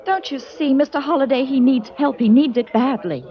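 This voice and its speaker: An actress playing a young nightclub singer in a 1940s radio drama, earnest and pleading. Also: female voice, sits high, fairly quick, lightly acted. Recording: dull old radio recording.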